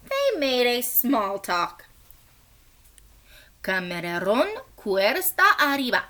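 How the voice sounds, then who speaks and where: A woman doing an expressive children's read-aloud in two languages, with animated, drawn-out storytelling. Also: mid-range voice, a little hiss or hum. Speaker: teacher reading a picture book aloud.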